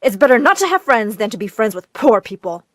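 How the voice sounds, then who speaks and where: A woman's voice: a little high, sing-song, a little fast, no room sound, studio quality. A woman voicing a middle-aged mother who dotes on her daughter and screeches bossy, melodramatic demands. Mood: shrill. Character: shrill doting overbearing mother, middle-aged.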